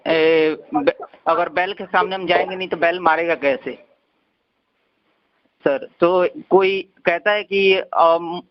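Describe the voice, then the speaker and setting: A young man, arguing persistently and pleadingly in a recorded phone conversation. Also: on the high side. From Bihar, India. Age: young adult. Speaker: channel host confronting callers. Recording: dull lo-fi phone call recording.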